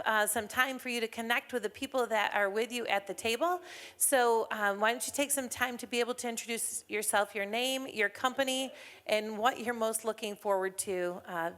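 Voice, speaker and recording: A woman's voice: fairly high pitch, fairly bright tone. A woman hosting a chamber of commerce morning event, chatty and upbeat, with frequent fillers, speaking into a mic in an event hall. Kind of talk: emcee announcements at a morning business event. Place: event hall with a live audience.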